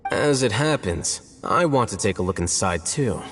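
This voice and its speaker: An actor voicing an aloof, analytical male scholar in a video game, speaking in a calm, measured and dry way. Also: on the low side, heavy background noise, lightly acted. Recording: game audio with reverb and faint music.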